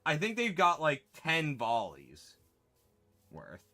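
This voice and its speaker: A man's voice: a little high, huge pitch swings, slow pace, bright, forceful tone. A man chatting casually with his chat while livestreaming a game, answering questions and correcting himself as he goes.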